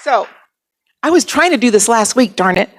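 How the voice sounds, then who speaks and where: A woman with a slightly high voice runs a club lunch meeting from a handheld mic. She's chatty and self-deprecating. Her announcements are casual and friendly. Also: animated, normal speed, heavy background noise. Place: banquet room at a lunch meeting.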